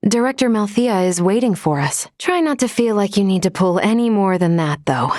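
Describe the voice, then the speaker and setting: A woman voicing a courteous, analytical female android companion in a video game, speaking in a formal, measured, precisely worded way. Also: mid-range voice, lively intonation, a little mellow, no room sound, studio quality, lightly acted. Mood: measured concern.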